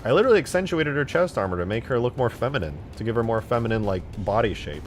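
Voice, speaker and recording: A man's voice: mid-range voice, animated, quick pace, soft background music. A man livestreaming as a game modder, chatting casually in a rambling, jokey way as he answers viewers. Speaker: live streamer and game modder.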